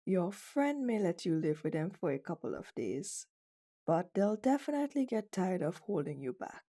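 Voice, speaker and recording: A woman's voice: on the low side, big pitch swings, moderate pace, dark tone. A woman playing a cold, dominant female CEO with a stern, condescending and flirtatious manner in an ASMR roleplay. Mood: stern, controlled.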